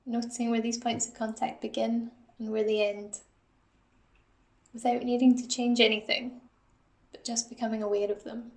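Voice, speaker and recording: A woman leads a guided body scan meditation in a fairly high voice with a flat, steady melody. Her delivery is calm and gentle, with noticeable creak, and she gives each instruction softly. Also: normal speed, reverberant. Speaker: assistant psychologist guiding a meditation.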